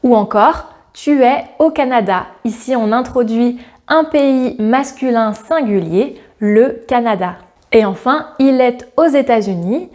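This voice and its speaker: Friendly female language teacher, fairly high voice, clear and direct to camera. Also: unhurried, a little mellow. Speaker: French teacher. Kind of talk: scripted grammar lesson to camera.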